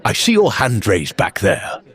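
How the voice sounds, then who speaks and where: An actor playing an earnest, formal church meeting chairman who speaks in a measured, bureaucratic deadpan. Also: fairly low pitch, breathy edge, exaggerated voice. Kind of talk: audio drama acting.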